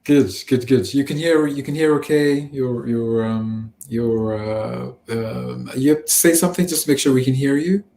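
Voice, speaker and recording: A man hosting an online panel over a video call, halting and encouraging, often repeating his words. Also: medium pitch, vocal fry, dark tone, roomy sound.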